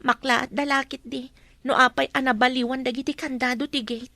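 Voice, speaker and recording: High-voiced, earnest young woman. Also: flat delivery, fairly quick, creaky, pushed and bright, no echo, full character voice. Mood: reflective. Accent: Ilocano. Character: earnest, wronged young woman, trusting niece. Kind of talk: radio drama acting.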